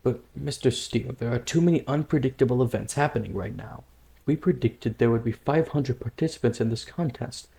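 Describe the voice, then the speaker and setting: Man playing a gravelly, formal official. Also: medium pitch, a little fast, dark tone, close to an everyday voice. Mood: concerned. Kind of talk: comic-book dub voice acting.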